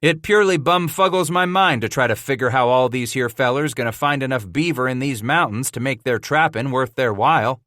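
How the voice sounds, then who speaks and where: A man's voice: mid-range voice, fairly quick, hardly any pauses, a bit bright, no echo, clean studio sound, lightly acted. A man voicing a scrappy frontier trapper who talks in a folksy backwoods drawl. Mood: gleeful.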